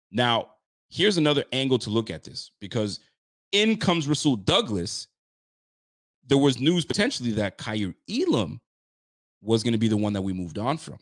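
A man in his late thirties hosting a casual sports talk show, animated and chatty, often repeating himself. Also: fairly low pitch, no echo, studio quality. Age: 30s. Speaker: sports podcast host.

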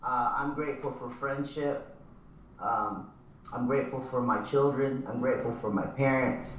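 A man from Texas, a guest musician on a video call, telling stories in a relaxed, friendly way. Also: medium pitch, normal speed, lo-fi sound, slight background noise. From Texas, born in Thailand. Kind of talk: casual interview chat. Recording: roomy, distant video call audio.

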